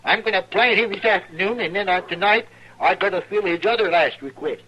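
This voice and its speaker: An actor playing a simple, earnest, talkative drifter with a husky rural Western American drawl in an old radio western. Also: male voice, high voice, a little fast, sharp tone. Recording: muffled old radio broadcast.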